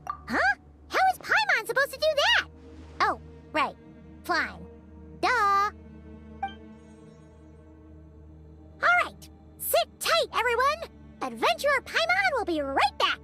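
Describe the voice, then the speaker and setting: Squeaky, bright, excitable little fairy. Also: female voice, a little slow, long pauses, soft background music, exaggerated voice. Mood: flustered, eager. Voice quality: squeaky, piercing, childlike. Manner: refers to self in third person, bubbly. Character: small cheerful floating fairy companion, excitable childlike girl. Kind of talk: video game voice acting.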